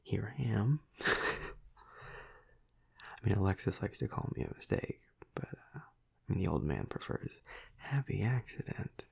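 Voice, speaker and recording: A man playing a flirty, protective vampire of high rank in an intimate audio roleplay, speaking softly and hesitantly in a breathy, gravelly half-whisper. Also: very animated, heavily acted. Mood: reassuring, wry. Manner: slow, hesitant, soft, soothing. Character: flirty, protective male vampire, high-ranking in his clan.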